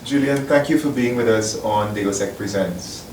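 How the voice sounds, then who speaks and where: A man hosting an interview in a newspaper office, asking plain, measured questions. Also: lowish voice. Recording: echoey, distant mic, clear noise.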